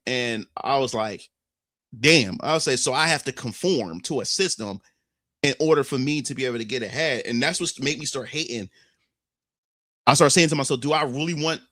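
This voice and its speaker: Young Philly man, casual streamer monologue, bright forceful voice, dry close mic, animated storyteller with frequent restarts. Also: mid-range voice, no echo. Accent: Philadelphia. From Philadelphia. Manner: animated, swears freely, repeats phrases and restarts often.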